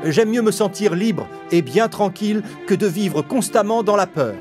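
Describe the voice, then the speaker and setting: A man voicing a small, excitable, expressive rat in a theatrical manner for a children's cartoon fable. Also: sits high, normal speed, music in the background. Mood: resolute. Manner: exclamatory, theatrical.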